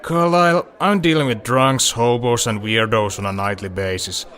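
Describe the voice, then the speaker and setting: A man's voice: medium pitch, moderate pace, fairly bright tone, lightly acted. An actor playing a long-suffering male bar owner in an audio drama, with a dry, matter-of-fact way of talking.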